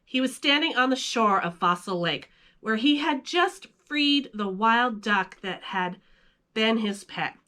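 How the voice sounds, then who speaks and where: Slow-paced woman, playful and chatty. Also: on the high side, animated, sharp tone. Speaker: video host and storyteller. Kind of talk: casual vlog chat and children's story read-aloud.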